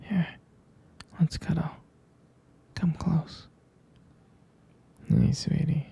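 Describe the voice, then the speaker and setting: A man playing a jealous, protective, adoring young boyfriend in an intimate ASMR roleplay, whispering in a husky, breathy, gravelly voice with a slow, hesitant, tender manner. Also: on the high side, fairly even intonation, mellow, full character voice. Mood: devoted, reassuring, then fond, nostalgic.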